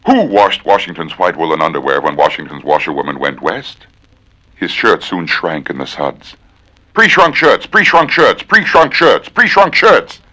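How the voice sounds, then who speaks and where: A man with a low, bright voice performs as a theatrical reciter. His pitch swings widely and every word is dramatically and precisely enunciated, with a forceful edge. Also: sing-song, normal speed. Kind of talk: theatrical tongue-twister recitation.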